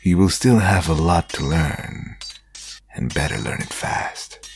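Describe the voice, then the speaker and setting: Casting: male actor as self-important, teasing vampire, creaky voice with animated delivery, sardonic, for audio drama. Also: a bass voice, slow pace, soft background music, heavily acted. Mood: wry, appraising. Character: smug, theatrical, teasing male vampire nobleman. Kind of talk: dramatic audio roleplay acting.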